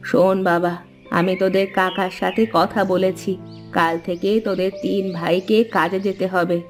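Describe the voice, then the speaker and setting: A woman's voice: lowish voice, level tone, moderate pace, faint music behind, close to an everyday voice. A woman voicing a worried, nagging village mother and housewife in a cartoon fairy tale. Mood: motherly urging.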